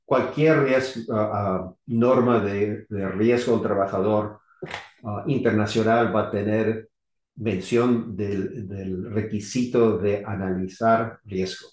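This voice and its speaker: A middle-aged man from Argentina, now living in the US, giving an unscripted technical talk on electrical safety in halting, rusty Spanish full of restarts and fillers. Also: lowish voice, fairly even intonation, a little mellow. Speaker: electrical safety engineer presenting. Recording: echoey room.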